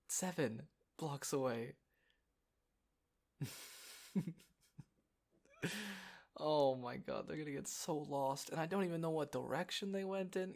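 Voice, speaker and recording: Guy acting as an easygoing young dude in an audio roleplay, unhurried, playful, chatting casually with a friend. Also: fairly high pitch, gravelly. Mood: amused exasperation. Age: young adult. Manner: casual, chuckling, halting conversational delivery.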